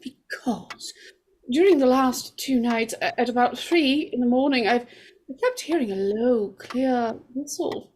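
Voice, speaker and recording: Casting: actress as timid young bride-to-be, very high voice, hesitant and uneasy, vintage radio-mystery style. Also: female voice, a little mellow, slight background noise, natural voice. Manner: halting, tentative. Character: Julia, nervous, hesitant young bride-to-be, sweet sister. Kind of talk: live old-time radio mystery acting.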